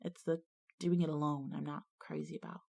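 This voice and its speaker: A hardworking schoolgirl in an audio drama, with a fairly low voice. She speaks plainly and wryly, like someone who always ends up with the chores and is a bit exasperated by it. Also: little pitch movement, fairly quick, vocal fry, dark tone, heavily acted. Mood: sincere. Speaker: character and first-person narrator.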